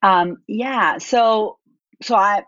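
A woman's voice: mid-range voice, extremely slow, fairly bright tone, no echo, dull audio. A woman with an Argentine accent, a business owner answering interview questions and thinking aloud with restarts and repeats.

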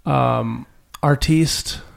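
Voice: mid-range voice, very slow, vocal fry. Giggly, rambling podcast host. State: mildly high. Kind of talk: casual chat.

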